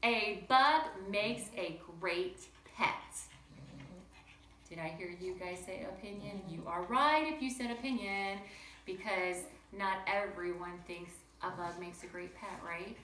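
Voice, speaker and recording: Female primary-style teacher for read-aloud lesson: crisp bright tone, addresses children directly, recorded in a reverberant room. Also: medium pitch, measured pace. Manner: chatty, questioning, addresses young listeners directly. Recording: roomy echo with faint background noise.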